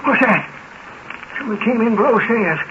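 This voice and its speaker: An actor playing a sick, miserly old farmer with a rural American accent, querulous and demanding, in 1940s radio drama style. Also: male voice, very high voice, expressive, moderate pace. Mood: crabby, suspicious. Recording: muffled, hissy 1940s radio recording.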